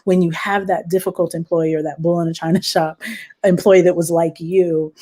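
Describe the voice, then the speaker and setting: A woman's voice: fairly low pitch, level tone, normal speed, warm tone. A woman hosting an interview, asking probing questions and reflecting thoughtfully on what she hears. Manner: probing, reflective, gives frequent affirmations. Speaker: host. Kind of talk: conversational interview.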